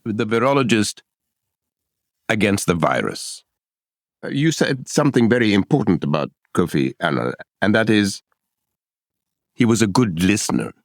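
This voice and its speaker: A man hosting an interview, asking measured, gently formal questions. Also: on the low side, big pitch swings, no echo, studio quality.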